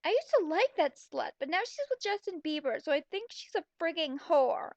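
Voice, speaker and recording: A woman's voice: very high-pitched, big pitch swings, normal speed, no echo, dull audio, exaggerated voice. A woman voicing a shallow, gossipy teenage girl with gushing, whiny delivery, as in a story read aloud. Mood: gossipy, catty.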